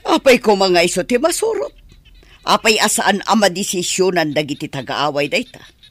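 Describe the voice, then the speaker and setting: A performer playing a sharp-tongued, evasive in-law parent in a radio drama, answering in a snappish, defensive way. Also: medium pitch, big pitch swings, normal speed. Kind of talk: amateur radio drama acting.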